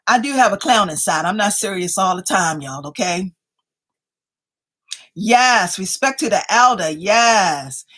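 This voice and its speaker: A woman's voice: mid-range voice, lively intonation, measured pace, fairly bright tone. A woman in her fifties hosting a casual livestream, chatting playfully with viewers and reading their comments aloud, speaking. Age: 50s. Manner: playful, chatty, keeps addressing viewers as y'all. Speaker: livestream host.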